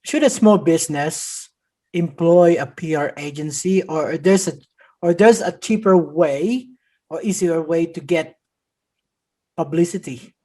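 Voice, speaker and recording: Man asking measured interview questions. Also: on the high side, mellow. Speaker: podcast host.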